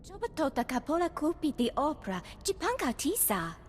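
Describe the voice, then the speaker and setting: A woman voicing an excitable alien in a video game, babbling in a sing-song invented tongue, with long reverb. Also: high-pitched, brisk. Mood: excited. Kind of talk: invented-language game creature dialogue.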